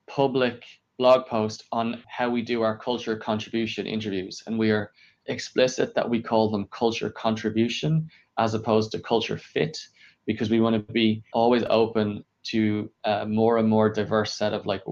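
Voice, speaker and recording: Low-voiced man, dull lo-fi sound. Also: a little mellow. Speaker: podcast guest, engineering director. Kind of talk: conversational interview explanation. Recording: dull, lo-fi podcast audio.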